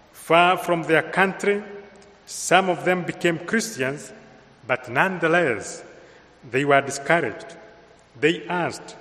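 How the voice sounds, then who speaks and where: A man with a Kenyan accent giving a homily in a slow, measured way with pauses. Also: on the high side, a bit bright. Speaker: priest. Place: large church with long reverb. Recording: reverberant church hall sound.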